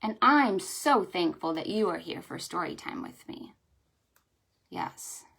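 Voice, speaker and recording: A woman leading a children's storytime, speaking in an animated sing-song way with exclamations and questions for young listeners. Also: on the low side, slow pace, vocal fry, breathy edge. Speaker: children's librarian hosting storytime. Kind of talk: children's storytime with read-aloud and pretend play.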